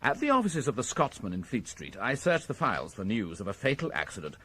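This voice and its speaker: An actor playing a methodical, courteous British police inspector in a radio crime drama, speaking in a measured, formal and precise way. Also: male voice, medium pitch, huge pitch swings, pushed and bright, a little hiss or hum. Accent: British English. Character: methodical, courteous male police inspector reporting his findings.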